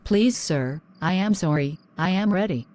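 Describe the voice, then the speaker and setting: A woman's voice: on the low side, animated, a little slow, darkish tone. A young woman, acting a frightened student who pleads timidly. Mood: pleading. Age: young adult. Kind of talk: amateur drama acting.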